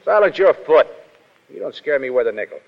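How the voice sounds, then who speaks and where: An actor playing a brash, cynical Foreign Legion soldier in a 1930s radio drama, with a blunt, slangy, insolent manner. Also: male voice, fairly high pitch, big pitch swings, brisk, creaky. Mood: defiant. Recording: muffled 1930s radio broadcast, hall reverb.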